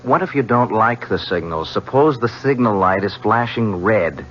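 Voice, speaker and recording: Deep-voiced, defiant young son, muffled radio. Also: male voice, animated, moderate pace, a bit bright. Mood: confrontational. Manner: challenging, pointed. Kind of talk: 1970s radio drama acting. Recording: muffled, hissy old radio broadcast with hum.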